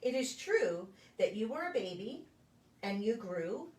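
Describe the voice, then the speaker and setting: A woman reading a children's picture book aloud in a deliberate, sing-song storytelling way for preschoolers. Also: medium pitch, sing-song, darkish tone. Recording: echoey room, distant microphone.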